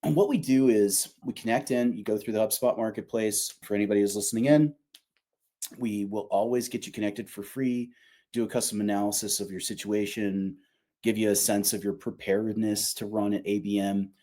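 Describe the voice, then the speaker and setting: A man's voice: lowish voice, fairly even intonation, brisk, mellow. A man speaking as a company executive in a casual interview, emphatic, colloquial and opinionated. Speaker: company revenue executive, podcast guest.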